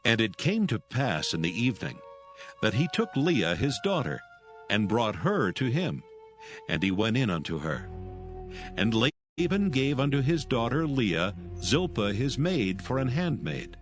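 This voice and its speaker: A man's voice: mid-range voice, big pitch swings, measured pace. Solemn male scripture narrator over music.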